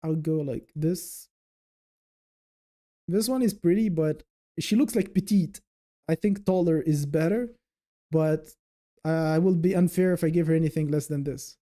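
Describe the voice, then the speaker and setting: A man's voice: a little high, measured pace, pauses often, dark tone. A man hosting a casual livestream, rambling and thinking aloud as he chats with viewers. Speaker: livestream host.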